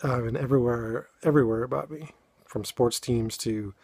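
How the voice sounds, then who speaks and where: A man with a New England accent from Boston, a poet talking casually to his viewers in a halting way with many fillers, then reading poems aloud. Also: medium pitch, a little mellow, breathy edge, no room sound. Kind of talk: casual chat and poetry reading.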